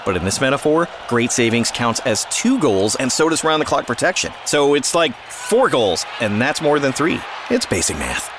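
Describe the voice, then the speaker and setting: A man reading a comic ad in a matter-of-fact, deadpan way. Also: mid-range voice, moderate pace, few pauses, music in the background.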